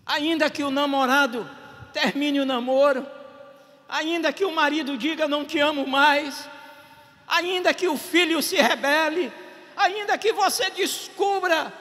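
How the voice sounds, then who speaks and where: A middle-aged Brazilian pastor with a Bahian accent, preaching a sermon on a handheld mic at an outdoor stage and building to shouted, repeated phrases. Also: male voice, very high voice, a little slow, long reverb tail. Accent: Bahian Portuguese. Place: outdoor stage at a drive-in service.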